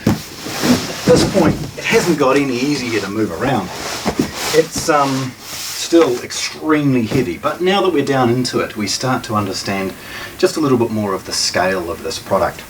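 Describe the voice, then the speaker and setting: A man gives casual, unscripted unboxing commentary, halting and matter-of-fact as he thinks aloud while handling things. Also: mid-range voice, expressive. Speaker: presenter of a hi-fi shop's unboxing video. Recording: distant mic, noisy room with handling clatter.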